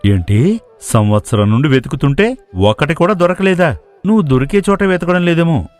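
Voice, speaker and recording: A man's voice: fairly high pitch, lively intonation, normal speed, a little mellow, lightly acted. A man playing a lazy, scheming young villager, a casual and mocking sidekick in a moral story. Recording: music playing behind the voice.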